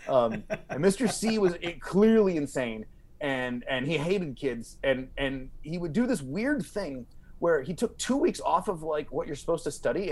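An American man telling comedic stories casually, in an animated, digressive way, often interrupting himself. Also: medium pitch. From small-town United States. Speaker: podcast guest comedian.

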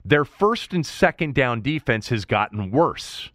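A man's voice: medium pitch, very animated, measured pace, a bit bright, no echo, studio quality. A man hosting a sports talk show, explaining his analysis in an emphatic, conversational monologue, thinking aloud as he goes.

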